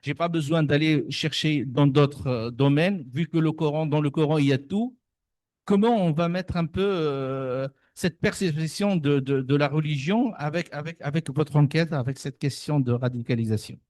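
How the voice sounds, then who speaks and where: A man in the audience puts a question to the speaker. His voice sits a little high, and he halts and restarts as he goes. The sound is dry and close. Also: darkish tone, no echo. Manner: hesitant, halting. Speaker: audience member asking a question. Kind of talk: question from the floor.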